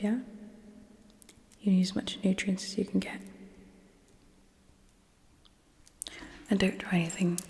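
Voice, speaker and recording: A haughty female alien commander, acted out by a young woman, whispers close to the mic in an ASMR roleplay. She is slow, breathy and coldly contemptuous, with quiet menace and condescension. The voice rings in an echoey space with a long reverb tail. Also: medium pitch, lightly acted. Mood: quietly threatening.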